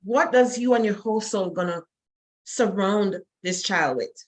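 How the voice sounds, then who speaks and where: A woman's voice: medium pitch, moderate pace, poor audio. Fervent woman hosting a faith talk show. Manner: fervent, repetitive, exhorting. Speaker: host.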